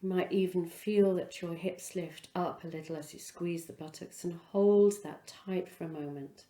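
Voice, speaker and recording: A woman leading a guided relaxation as a yoga instructor, speaking in an unhurried, soothing way with pauses between phrases. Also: fairly low pitch, steady tone, warm tone, roomy sound.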